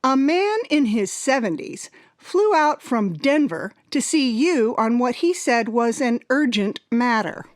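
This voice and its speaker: An actress playing a polished, inquisitive radio talk show host in a radio drama. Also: female voice, fairly high pitch, very animated, a little slow, no room sound, clean studio sound. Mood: probing. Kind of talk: radio drama acting as a broadcast interviewer.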